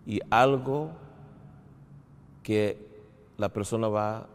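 Slow, low-voiced man in an echoing hall. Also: smooth. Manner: halting, in short phrases with pauses. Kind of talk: short religious talk to camera. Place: large empty hall with a banner, indoors. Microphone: lapel mic, standing to camera. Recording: long hall reverb.

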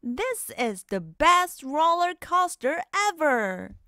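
A woman voicing an excitable, competitive young fox in a children's storybook, with animated, exclamatory delivery. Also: sits high, slow, no echo, clean studio sound, pitched above the performer's own voice, exaggerated voice. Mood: delighted.

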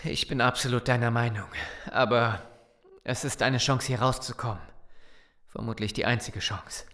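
An actor playing a grim, pragmatic survivor in an audio drama, terse and resolute. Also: male voice, medium pitch, steady tone, moderate pace, fairly bright tone, close to an everyday voice. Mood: grim resolve. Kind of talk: German audio drama acting.

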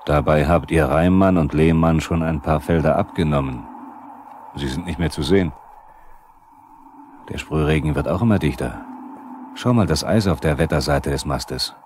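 A very low-voiced male narrator in a 1970s radio drama. He is sober and probing as he addresses the characters directly. The old vinyl recording carries faint noise. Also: normal speed, long pauses, a little mellow.

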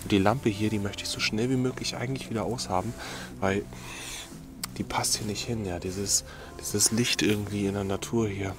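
A young man whispering to the camera under a tarp at night, hushed and rambling. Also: on the low side, fairly even intonation, moderate pace, music in the background. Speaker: outdoor vlogger.

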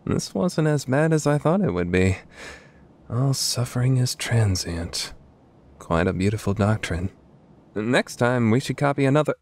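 A man's voice: mid-range voice, animated, a little slow, darkish tone. A man playing an earnest, easily flustered teenage student council president in an anime fandub radio play. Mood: serene.

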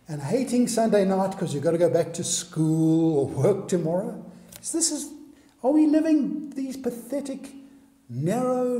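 A man's voice: high voice, mellow. A man preaching a sermon, pressing the listener with one earnest question after another. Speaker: pastor. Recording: echoey hall recording.

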